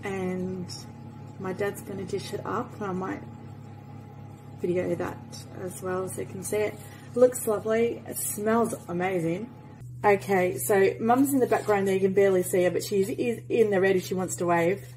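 A woman's voice: mid-range voice, fairly even intonation, normal speed, slight background noise, low-quality recording. Chatty woman in a home kitchen. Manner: chatty, trailing fillers, sometimes soft and near-whispered. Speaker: cooking vlogger. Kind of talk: casual cooking commentary. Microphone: handheld phone camera.

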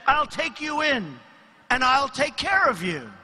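Tender-hearted fable woman played by a man, high, bright, emotional, for dramatic verse storytelling. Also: big pitch swings, a little slow, slightly rough, full character voice. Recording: reverberant large hall.

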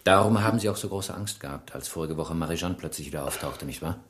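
A man's voice: very deep voice, fast talker. An actor playing a shrewd, relentless French police inspector in a German radio crime drama, ironic and insistent as he questions. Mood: firm, accusing. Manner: probing, ironic, insistent interrogator.

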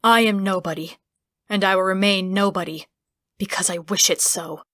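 A woman's voice: mid-range voice, fairly even intonation, moderate pace, a bit bright, dry recording, natural voice. A woman plays an ancient godlike spirit, grave and aloof, with weary scorn. It is an imperious fantasy audiobook character, recorded close and dry. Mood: scornful.

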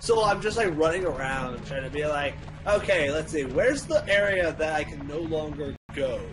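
A man's voice: a little high, animated, moderate pace, low-quality recording. A man chatting casually over a video game in a playful, joking way. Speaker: co-commentator on a game playthrough. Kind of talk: casual gameplay chat.

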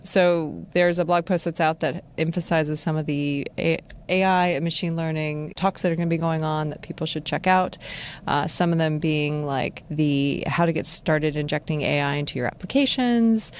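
A woman's voice: sits low, normal speed, slight background noise. A woman co-hosting a tech podcast, explaining news in a friendly casual way. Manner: friendly, laughs easily, explanatory. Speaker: podcast co-host.

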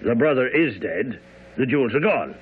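A man's voice: medium pitch, big pitch swings, normal speed, breathy edge. A man playing a smug, blustering police inspector in a radio drama, dismissive and hemming. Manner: dismissive, matter-of-fact, hemming. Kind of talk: old-time radio drama acting. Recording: narrow, tinny radio broadcast.

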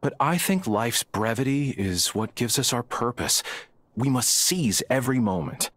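An actor playing an earnest, philosophical village spiritual leader in a video game, solemn and measured. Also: male voice, medium pitch, lively intonation, fairly bright tone, a little hiss or hum. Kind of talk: video game character dialogue.

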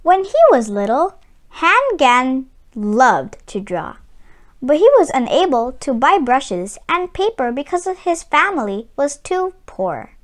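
A woman reading a children's picture book aloud as host, with deliberate, sing-song storytelling phrasing. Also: sits high, very animated.

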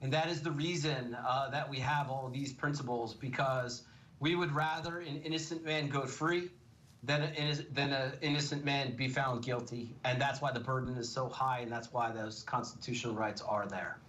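Middle-aged lawyer guy on tv, mid voice, earnest and steady, explaining rights. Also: mid-range voice, moderate pace, rough recording. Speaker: criminal defense attorney, guest. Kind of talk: TV legal commentary.

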